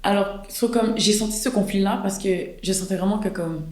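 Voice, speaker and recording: Fast-talking young woman, echoey room. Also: mid-range voice, a little mellow. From Quebec, Canada. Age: 20s. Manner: mixes English words into her French. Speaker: podcast guest. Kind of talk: casual conversational storytelling.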